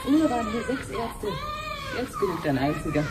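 An actress playing a friendly, patient cancer researcher in a radio drama, explaining things clearly like a teacher. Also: female voice, mid-range voice, sing-song, a little fast, heavy background noise, natural voice. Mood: friendly, casual. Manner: didactic, clearly phrased explaining. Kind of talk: 1970s German radio drama acting.

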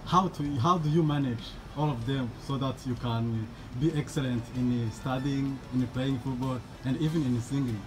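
A man's voice: mid-range voice, steady tone, normal speed, hardly any pauses, low-quality recording. Off-camera man asking friendly questions. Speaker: interviewer. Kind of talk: casual interview.

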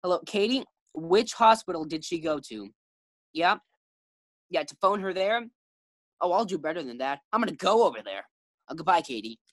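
A woman's voice: lowish voice, lively intonation, moderate pace, pauses often, no room sound. A woman acting an anxious, doting, befuddled husband who stammers, in a 1940s-style radio sitcom.

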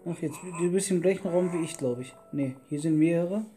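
A man's voice: on the high side, a little fast, dark tone. A man co-hosting a gaming video in casual let's play chat. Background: prominent plucked guitar music.

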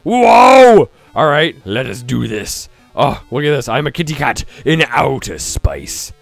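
Excitable young man with a stuffy cold. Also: mid-range voice, unhurried, gravelly, soft background music. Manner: excitable, exclaims and shouts at the game. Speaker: gaming YouTuber. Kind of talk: excited let's-play commentary.

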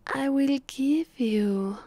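A woman with an Italian accent voicing a haughty, possessive vampire mistress in a close, hushed ASMR roleplay, condescending and commanding. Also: high-pitched, very slow, no echo, exaggerated voice. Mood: smug, teasing. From Italy. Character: haughty, possessive female vampire mistress addressing her captive. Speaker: ASMR voice actress. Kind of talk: ASMR roleplay, slow, close, often whispered. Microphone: close microphone, dry.

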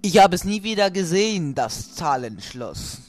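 Higher-voiced man goofing around. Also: big pitch swings, moderate pace. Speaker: let's play host. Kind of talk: casual joking chat while gaming. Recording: music playing over the voice.

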